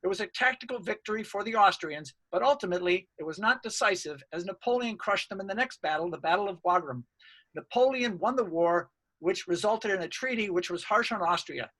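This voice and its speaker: High, bright-voiced man presenting research. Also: a little fast, poor audio. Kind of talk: scripted academic presentation, read aloud.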